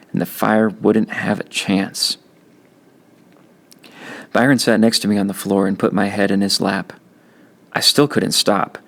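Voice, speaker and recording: A man's voice: fairly low pitch, creaky. A man reading a first-person novel aloud as a teacher would, in audiobook narration.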